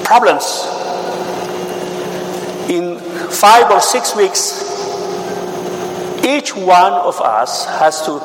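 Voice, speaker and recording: A Mexican-accented man with a high voice talks to a congregation in a slow, earnest way, with touches of humor. He often stops and repeats words as he speaks. The sound is roomy with a long echo and steady noise under it. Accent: Mexican Spanish. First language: Spanish. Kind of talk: church talk appealing for support. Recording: echoey hall with steady noise.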